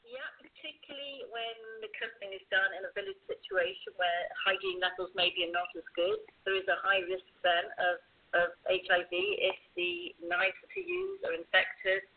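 A woman's voice: medium pitch, lively intonation, normal speed. A woman guest from a charity, answering interview questions earnestly over a call. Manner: earnest, explanatory. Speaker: guest, charity communications manager. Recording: tinny phone line with faint hiss.

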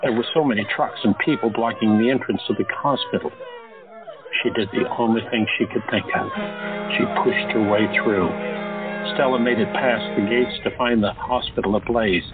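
A man narrating an audio drama in a steady, dramatic storytelling manner. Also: fairly low pitch, normal speed, narrow, tinny audio, loud music behind the voice.

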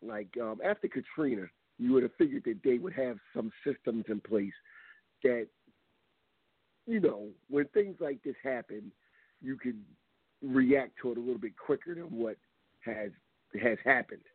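Hoarse man hosting over a phone line. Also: medium pitch, animated, measured pace, dry recording. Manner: rambling, loose, with many ums. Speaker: radio show host. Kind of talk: casual call-in radio talk.

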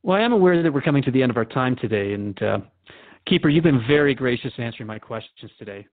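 Male actor as inquisitive radio host, fast pace, conversational, respectful, radio drama interview. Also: medium pitch, animated, phone-quality sound, lightly acted. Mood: gracious, wrapping up.

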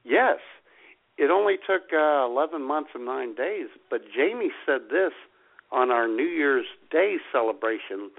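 A man's voice: medium pitch, animated, a little slow, vocal fry. A man co-hosting a live radio show, bantering casually. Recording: slightly dull lo-fi internet radio.

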